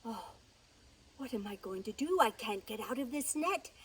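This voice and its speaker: A woman's voice: on the high side, expressive, normal speed, a bit bright, husky, far from the mic. A woman voicing a big, proud male lion in an animal fable, imperious and emphatic. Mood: distressed.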